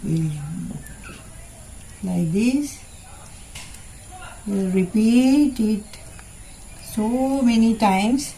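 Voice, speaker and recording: Very slow-talking woman teaching small children. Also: fairly high pitch, mellow, slight background noise, rough recording. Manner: halting short phrases, repetitive instruction. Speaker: preschool teacher. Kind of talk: simple children's lesson, demonstrating while talking.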